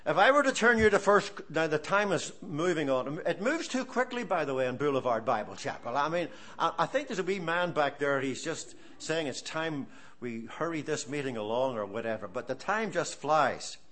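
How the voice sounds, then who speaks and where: Animated older Irish preacher. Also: male voice, on the high side, moderate pace. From Ireland. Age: 70s. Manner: animated, storytelling, earnest exhortation. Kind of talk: sermon.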